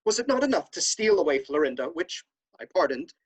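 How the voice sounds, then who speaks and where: A man's voice: fairly high pitch, very animated, fairly quick, slightly rough. An actor playing a stern, honor-obsessed nobleman brother in a classical stage comedy, declaiming in a haughty, indignant way.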